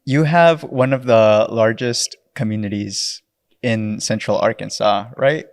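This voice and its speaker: A man's voice: fairly low pitch, unhurried, no room sound, clean studio sound. A man hosting a podcast, asking friendly questions with many fillers. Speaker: podcast host. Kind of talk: casual interview questions.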